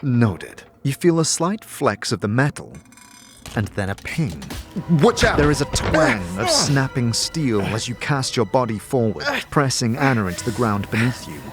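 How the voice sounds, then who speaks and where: A man's voice: medium pitch, sing-song, normal speed. Casting: male game-master narrator, descriptive and dramatic, with varied intonation for second-person fantasy storytelling, music underneath.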